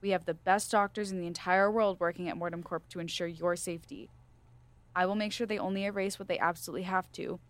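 A woman playing a firm, calmly coercive corporate official with an American accent in an audio drama. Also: lowish voice, fast, natural voice. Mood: soothing.

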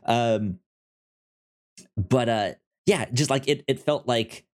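A man co-hosting in a casual chat, speaking haltingly with stammered, repeated word starts. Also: medium pitch, very animated, darkish tone. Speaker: podcast co-host discussing films.

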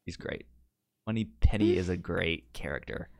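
A man co-hosting a podcast, chatting casually about films in a halting way, often stammering on the starts of words. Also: low-pitched, expressive, gravelly. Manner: halting, stammers repeatedly on word beginnings. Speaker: podcast co-host. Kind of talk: casual film discussion chat.

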